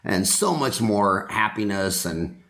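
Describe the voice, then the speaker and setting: A man's voice: lowish voice, lively intonation, takes it slowly, creaky, fairly bright tone. A middle-aged man hosting a podcast, chatting in a rambling, enthusiastic, joking way with many fillers. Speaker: podcast host, dentist. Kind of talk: casual interview chat.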